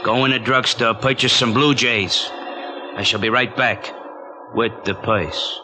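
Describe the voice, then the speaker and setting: An actor playing a gangster thug who speaks with pompous, stilted mock-refined diction in a 1940s radio drama. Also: male voice, mid-range voice, fairly even intonation, unhurried, close to an everyday voice. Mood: condescending. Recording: noisy vintage 1940s radio recording.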